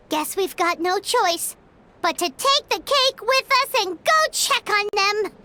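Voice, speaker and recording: A woman voicing a tiny, chirpy, childlike fairy companion in a video game, squeaky and obsessed with food. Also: animated, takes it slowly, very noisy, heavily acted. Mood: fretful, determined. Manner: chirpy, refers to self in third person. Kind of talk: video game character acting. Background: clearly audible music.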